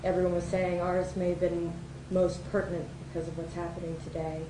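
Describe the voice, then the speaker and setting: A woman filmmaker giving informal thank-you remarks as a guest speaker, hesitant and pausing often. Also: lowish voice, little pitch movement. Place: event hall before an audience. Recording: echoey room with background noise.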